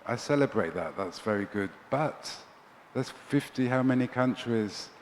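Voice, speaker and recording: A man's voice: mid-range voice, lively intonation, unhurried. A man who organizes a festival, speaking as a panelist in an earnest, persuasive way. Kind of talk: panel discussion. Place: large conference hall. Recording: long hall reverb, faint background noise.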